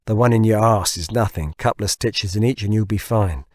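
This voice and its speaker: A man voicing a practical, reassuring friend of divers in an audiobook, brisk and matter-of-fact. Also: lowish voice, a little mellow, dry recording, lightly acted.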